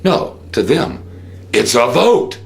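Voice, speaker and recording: An American man giving an unscripted political monologue, theatrical in manner, with rhetorical questions and drawn-out emphasis. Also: medium pitch, big pitch swings, vocal fry. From United States. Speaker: host of a political commentary show.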